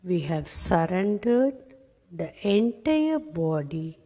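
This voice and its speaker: A woman with a slightly low voice leads a guided relaxation at an extremely slow pace. She gives calm instructions in short phrases with space between them, and a long reverb trails her voice. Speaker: yoga nidra meditation guide. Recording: long reverberant hall-like echo.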